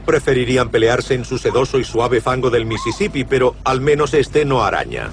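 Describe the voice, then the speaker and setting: A man's voice: medium pitch, expressive, fairly quick, soft background music. A man reading scripted documentary narration as the narrator.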